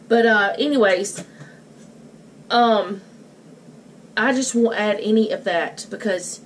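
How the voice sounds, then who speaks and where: A middle-aged woman talks casually through her cooking steps in a home kitchen, rambling informally and thinking aloud. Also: a little high. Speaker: home cook and vlogger. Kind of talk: casual cook-along chat, narrating her own steps. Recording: clear background noise, home camera mic.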